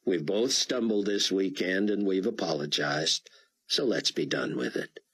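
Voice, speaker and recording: A calm young man, patient and forgiving, with a breathy low voice , as the narrator plays him. He talks evenly, with a wry lightness. Audiobook dialogue. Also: a little slow, exaggerated voice. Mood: gentle. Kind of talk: audiobook character voice.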